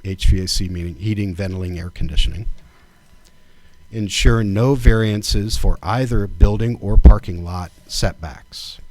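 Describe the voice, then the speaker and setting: A man with a fairly low voice reads out a formal proposal, measured and deliberate. The sound is dry and close. He speaks at a public township meeting. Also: a little mellow, no echo. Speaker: township trustee.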